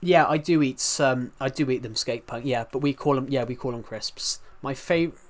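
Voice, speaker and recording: A man's voice: medium pitch, normal speed. A young man from the UK with a thick regional British accent, chatting casually and rambling with his live stream viewers. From United Kingdom. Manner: rambling, many restarts, chatty and candid.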